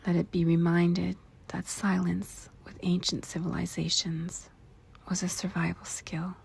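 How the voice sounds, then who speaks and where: A woman leads a meditation in a very breathy, whispered voice full of heavy creak. She speaks slowly, in short deliberate phrases with long pauses between them. Her delivery stays hushed and measured. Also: lowish voice. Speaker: meditation guide. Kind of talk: guided meditation narration with spacious pauses.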